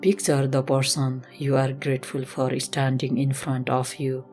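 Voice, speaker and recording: A woman instructor leading a slow guided meditation in a gentle, measured, instructional manner. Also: rumbling low, level tone. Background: music.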